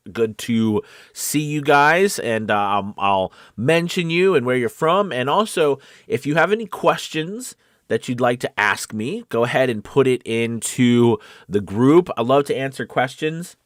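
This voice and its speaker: A man hosting a casual livestream coaching show, talking in an animated, emphatic, conversational way. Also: medium pitch, dry recording, clean studio sound. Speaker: host of a voice-acting coaching show.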